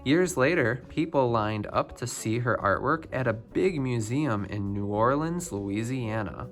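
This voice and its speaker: A man reading a children's picture book biography aloud, phrase by phrase with expressive emphasis. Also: medium pitch, measured pace, faint music behind. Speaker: storybook reader.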